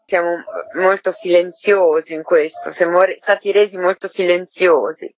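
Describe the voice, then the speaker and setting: Woman, reflective radio interviewee, halting phrases, tag questions, muffled dry sound. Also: fairly low pitch, steady tone, dry recording. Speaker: interviewed artistic coordinator of a theatre artists' project.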